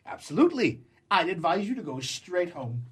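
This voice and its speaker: A man plays an exasperated young lover in a 1920s comedy radio play. His husky voice leaps up and down as he blusters, stammers and makes florid, cynical declarations of love. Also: high-pitched, very animated, moderate pace, full character voice. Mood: reckless ardour.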